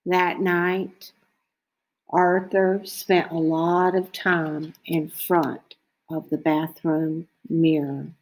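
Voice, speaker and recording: A woman's voice: deep voice, level tone, extremely slow, low-quality recording. A woman reading a children's storybook aloud as narrator. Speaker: storybook reader.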